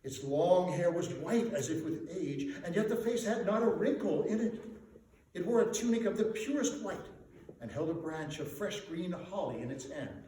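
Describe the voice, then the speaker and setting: A man narrating classic fiction as a dramatic storyteller, with theatrical, measured and deliberate phrasing. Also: high voice, expressive, warm tone. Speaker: storyteller, performer. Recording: echoey room, distant mic, faint noise.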